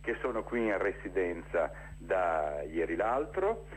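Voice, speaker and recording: A man speaking spontaneously as an interview guest, explaining things with mid-phrase pauses and repeated words for emphasis. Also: deep voice, big pitch swings, slight background noise, rough recording. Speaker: interview guest, theatre director presenting a festival. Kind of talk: spontaneous informational interview talk.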